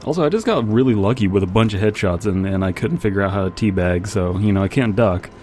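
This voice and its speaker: A man's voice: lowish voice, fast talker, darkish tone, a little hiss or hum. A man giving casual, rambling commentary as he plays a video game, with wry joking asides. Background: game music and gunfire.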